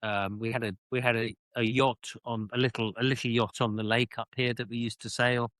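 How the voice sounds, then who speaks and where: A middle-aged British man telling his own story as an interview guest, reflective and halting, often repeating words as he searches for phrasing. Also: medium pitch, flat delivery, fairly bright tone, no room sound. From United Kingdom. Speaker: interview guest, author and former army officer.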